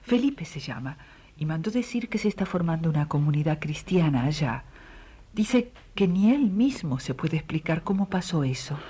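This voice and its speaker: An actress with an Argentine accent playing an anxious, pleading mature wife in a radio play, her voice hushed, breathy and husky. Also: deep voice, expressive, normal speed. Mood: hushed, worried.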